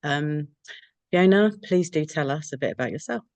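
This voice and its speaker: A woman's voice: sits low, animated, normal speed, a little mellow, no echo. Rambling woman podcast host, dry sound. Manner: rambling, discursive, with fillers. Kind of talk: interview podcast hosting.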